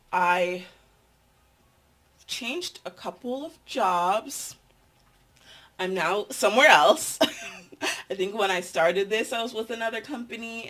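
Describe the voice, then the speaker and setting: A woman's voice: mid-range voice, fairly bright tone. A young adult woman with a nasal voice, chatting casually to her vlog audience in a meandering, filler-filled way. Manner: chatty, meandering, with frequent ums and likes. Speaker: vlogger.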